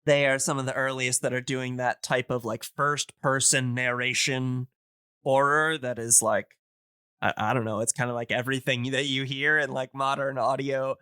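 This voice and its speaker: A man co-hosting a casual podcast discussion, explaining things in a halting, digressive way. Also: mid-range voice, flat delivery, bright, forceful tone, clear, resonant tone, dry recording, clean studio sound. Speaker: podcast co-host explaining radio history.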